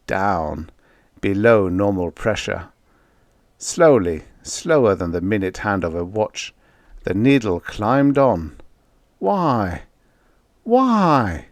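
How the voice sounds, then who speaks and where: Male audiobook narrator for pulp science fiction: unhurried, deliberate delivery, dramatic stress on key words, very dry close sound. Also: fairly low pitch, no echo. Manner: measured, deliberate, dramatic storytelling with drawn-out emphasis. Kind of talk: scripted narration of vintage science fiction short story.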